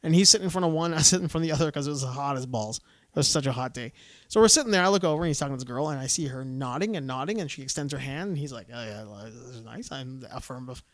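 A man's voice: medium pitch, lively intonation, rapid-fire, no room sound. A man chatting casually on a podcast, rambling through stories and acting out what people said. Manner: rambling storyteller, acts out quotes. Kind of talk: casual chat.

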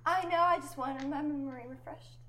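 A woman playing a tabletop role-playing game, quick, laughing and playful. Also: sits high, very noisy. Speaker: player. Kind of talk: tabletop role-play chat.

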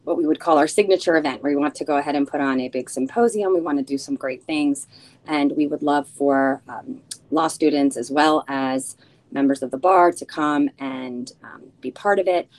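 A woman whose first language is Spanish, introducing herself as a bar committee co-chair in an earnest, halting way with many fillers. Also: low voice, darkish tone, poor audio. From United States. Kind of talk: informal spoken introduction.

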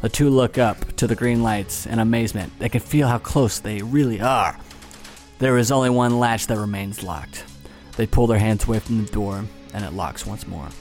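A man narrating a tabletop game as game master, steady and descriptive with casual asides. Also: on the low side, moderate pace, faint music behind.

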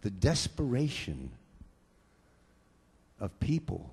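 A man from the United States preaching a sermon, swinging between quiet talk and loud bursts of fervor with repeated hallelujahs. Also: lowish voice, wildly swooping pitch, slow, vocal fry, husky, in a large hall. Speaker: pastor.

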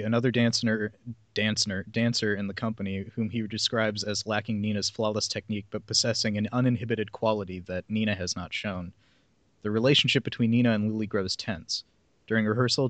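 A man's voice: lowish voice, fairly bright tone, dry recording. A man hosting a podcast, reading a plot summary aloud with casual asides. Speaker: podcast host reading film synopses.

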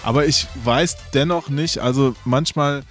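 A man's voice: mid-range voice, measured pace, fairly bright tone, soft background music. A man hosting a casual chat, talking informally as he puts questions to his guests. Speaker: podcast host interviewing two guests. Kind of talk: casual chat about games.

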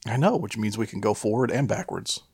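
Male podcast co-host, lowish creaky voice, wry and sweary banter, dry close sound. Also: animated, fairly quick, darkish tone, dry recording. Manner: profane, wry. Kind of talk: casual comic banter.

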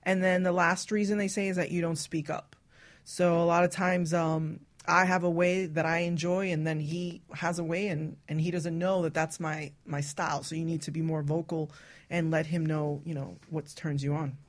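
A woman's voice: sits low, little pitch movement, moderate pace. A woman co-hosting a radio talk show, speaking frankly and casually in a group chat. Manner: frank, explicit.

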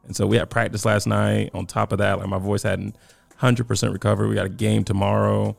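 A man, hoarse and raspy from coaching, chatting casually as a podcast co-host and laughing often. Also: on the low side, little pitch movement, a little fast, no echo, faint music behind. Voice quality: raspy, worn voice. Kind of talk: casual chat.

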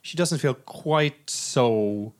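A man's voice: medium pitch, animated, extremely slow. A man co-hosting a film podcast, talking casually and analytically in a conversational way.

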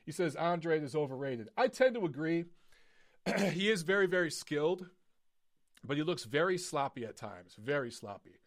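A man hosting a live boxing call-in podcast, emphatic and opinionated, his voice going hoarse. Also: fairly high pitch, lively intonation, normal speed. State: losing his voice.

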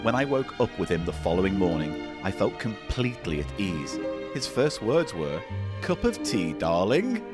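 Animated man co-hosting comedy. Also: sits low, moderate pace, loud music behind the voice. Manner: animated, laughing, jokey. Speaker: podcast co-host. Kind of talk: comedy podcast banter.

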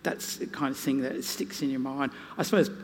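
A man with a mid-pitched voice speaks in a big, reverberant hall with some noise behind him. He is a panel guest, telling stories off the cuff in an animated, self-deprecating manner. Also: fairly quick. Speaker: naturalist and author on a panel. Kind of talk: unscripted panel talk with anecdotes. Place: large indoor hall at a live museum event. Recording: hall reverb, noisy live event.

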